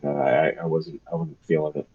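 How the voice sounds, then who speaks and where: A man's voice: a bass voice, level tone, a little fast, slightly rough, muffled recording. A young man chatting casually on a live stream, rambling and hesitant with lots of fillers. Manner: rambling, hesitant, self-deprecating. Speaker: streamer and artist.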